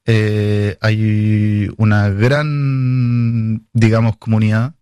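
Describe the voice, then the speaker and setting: A man's voice: lowish voice, little pitch movement, drawn-out words, warm tone, clean tone, no echo. A man, a film director, answering interview questions in a hesitant way with many fillers and restarts.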